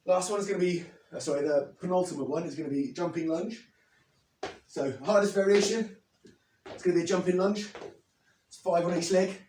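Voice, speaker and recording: A man in his thirties, slightly hoarse after losing his voice, explaining and demonstrating leg exercises step by step as a fitness coach. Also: high-pitched, fairly even intonation, fairly quick, pauses often, darkish tone, slight background noise. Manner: plain, step-by-step coaching while demonstrating moves. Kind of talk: instructional workout demo. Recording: echoey, from a distance.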